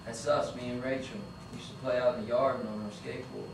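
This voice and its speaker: A man's voice: mid-range voice, steady tone, fast, vocal fry, close to an everyday voice. An actor reading the part of a young man who learns his friend has been killed, at a table read. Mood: subdued. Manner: urgent, agitated line reading. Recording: echoey room from far off with noise.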